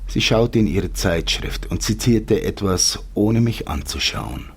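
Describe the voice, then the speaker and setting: A male reader acting as a wistful storyteller with a very low, husky voice. He narrates an audiobook-style horror tale in the first person, measured and with deliberate pauses. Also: lively intonation, exaggerated voice. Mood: wistful, calm. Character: wistful male narrator mourning an imagined life.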